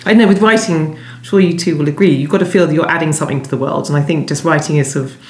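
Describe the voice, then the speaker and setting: A woman novelist being interviewed, talking conversationally in a thoughtful, hesitant and halting way. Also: deep voice, darkish tone. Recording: echoey room sound.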